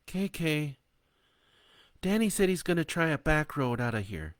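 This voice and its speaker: A man's voice: on the high side, sing-song, unhurried, no echo, clean studio sound, voice pushed up. A man voicing an anxious adult daughter calling her mother. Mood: worried. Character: worried adult daughter on the phone.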